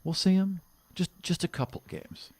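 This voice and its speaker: A man's voice: high-pitched, moderate pace, darkish tone, husky, voice pushed up. A man voicing a friendly, easily bored human guard in a tabletop game, casual and coaxing.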